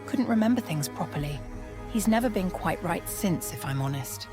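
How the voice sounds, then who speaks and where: A woman's voice: on the low side, expressive, normal speed, music in the background, natural voice. A woman voicing a plain-spoken village mother in an audio story, anxious and cautious, with a careful, hesitant manner.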